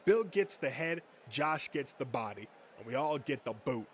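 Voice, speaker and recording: A man voicing a rowdy, crude nerd full of gleeful bravado, animated and foul-mouthed, in a comic dub with slight noise. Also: medium pitch, normal speed, close to an everyday voice. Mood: boastful, amused. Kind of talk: comic dub character acting.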